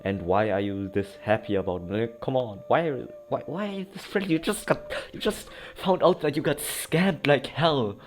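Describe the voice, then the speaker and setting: A man's voice: medium pitch, normal speed, few pauses. A man giving casual, unscripted playthrough commentary as a game streamer, sometimes reading dialogue aloud. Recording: clear game music behind voice. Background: game music.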